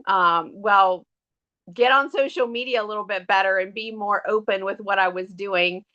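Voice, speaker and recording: A woman's voice: mid-range voice, bright, forceful tone, lo-fi sound. A middle-aged woman with a scratchy voice after an illness, sharing her story on a team call in an earnest, grateful way with many fillers. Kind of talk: casual testimonial on a team call.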